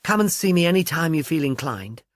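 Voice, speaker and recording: A man voicing a frank, brisk woman at a film studio, gossipy and matter-of-fact, in an audiobook. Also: fairly high pitch, expressive, dry recording, voice pushed up, natural voice. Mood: knowing. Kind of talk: audiobook character dialogue.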